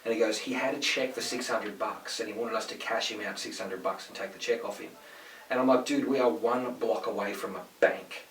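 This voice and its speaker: Fast-talking man, echoey room. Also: on the low side, steady tone, fairly bright tone. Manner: swearing storyteller, acts out people in his stories. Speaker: podcast host. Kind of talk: casual chat. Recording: echoey room, mic at a distance.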